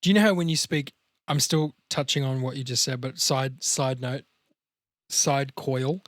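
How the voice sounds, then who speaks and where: A man's voice: medium pitch, fairly even intonation, normal speed, fairly bright tone, no echo, clean studio sound. An Australian man hosting a podcast in casual banter, with a rambling, sweary way of telling stories. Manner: rambling, sweary, digressive storytelling. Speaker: podcast host.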